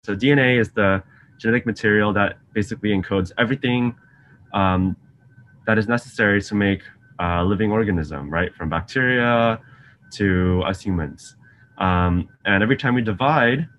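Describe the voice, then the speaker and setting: Young male grad student, lower voice, chatty, faint hiss over webcam call. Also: normal speed, fairly bright tone. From Singapore. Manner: friendly, chatty, frequent ums, asks students questions. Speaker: graduate student science outreach teacher. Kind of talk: casual online science lesson. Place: lab office with shelves, indoors. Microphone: video call webcam.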